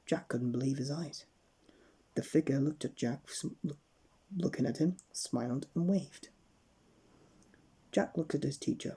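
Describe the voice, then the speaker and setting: Low-pitched, expressive woman storyteller. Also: slightly rough. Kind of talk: bedtime story read aloud, scripted narration.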